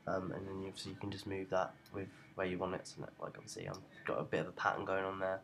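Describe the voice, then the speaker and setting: Low, gravelly young man explaining software. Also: flat delivery, quick pace. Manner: hesitant, rambling, unscripted. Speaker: tutorial presenter. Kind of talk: informal software screencast tutorial. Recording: noticeable background noise.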